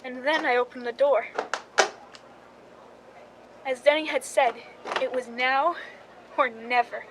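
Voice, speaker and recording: High-voiced woman narrating radio suspense as an actress. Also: fairly even intonation, slow pace, pauses often, background noise. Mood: tense, recounting. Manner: dramatic first-person storytelling. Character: young film stand-in woman narrating her crime story.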